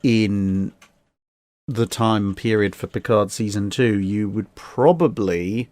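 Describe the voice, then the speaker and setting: A man talking casually as a guest commentator, giving opinions in a measured, analytical way. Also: lowish voice, a little mellow, no room sound. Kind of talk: casual discussion.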